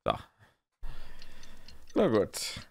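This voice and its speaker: A man's voice: drawn-out words, slight background noise. A man giving casual live commentary as he streams a game.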